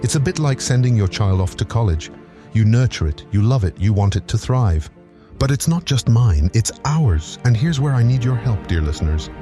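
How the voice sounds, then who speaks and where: A man's voice: medium pitch, lively intonation, moderate pace, over music. A man hosting his own podcast, reading a scripted promo with earnest, grandiose cosmic phrasing. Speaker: podcast creator and host.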